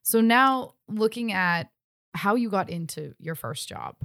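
A woman's voice: medium pitch, animated, unhurried, a bit bright, no room sound. Female sports host, upbeat interviewer, close and dry studio sound.

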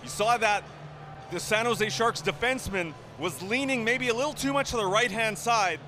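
A man's voice: sits high, moderate pace, sharp tone. A man giving live colour commentary, breaking down a hockey play. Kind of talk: live replay analysis. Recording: live broadcast, faint background noise.

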